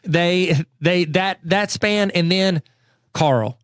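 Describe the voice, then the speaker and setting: A man's voice: sits high, takes it slowly, clean tone, dry recording, clean studio sound. A man co-hosting a podcast, recapping at length in casual chat with many restarts and quoted asides. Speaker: podcast co-host.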